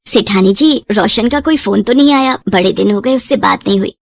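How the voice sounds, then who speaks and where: A woman's voice: medium pitch, fairly quick, gravelly, no room sound. A devoted, poor village mother from a moral cartoon story, played by a female narrator. She speaks humbly and tenderly, with a pleading touch, in a dry recording. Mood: anxious.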